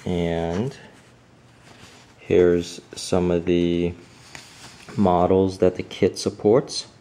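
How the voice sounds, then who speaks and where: Slow, low-voiced man with halting speech. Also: flat delivery, dark tone, slight background noise. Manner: hesitant, many fillers and restarts. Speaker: home fixer-upper showing a manual. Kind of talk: casual off-camera walkthrough.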